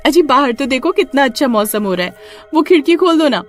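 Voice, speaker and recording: A woman voicing an excitable, easily frightened young village wife who speaks deferentially to her husband in a horror story. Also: high voice, brisk, soft background music, pitched above the performer's own voice. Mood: happy, coaxing. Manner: exclaiming, addresses husband with wifely deference. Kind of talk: dramatised Hindi horror story.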